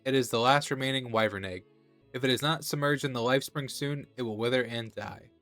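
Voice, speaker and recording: A man's voice: mid-range voice, a little fast, a bit bright, no room sound, soft background music, natural voice. A man with a cold reading out the lines of a dying old male wyvern in his own nasal voice. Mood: grave. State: has a cold.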